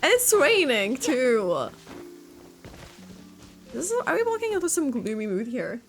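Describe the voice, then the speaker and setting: A woman's voice: high-pitched, wildly swooping pitch, a little slow, soft background music. A woman streamer giving casual reaction commentary on a game, often echoing dialogue in short asides.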